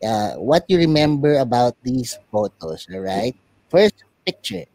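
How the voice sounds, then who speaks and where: A man's voice: mid-range voice, sing-song, measured pace, a little mellow, no room sound. A man hosting a talk show, casually interviewing guests and guiding them along.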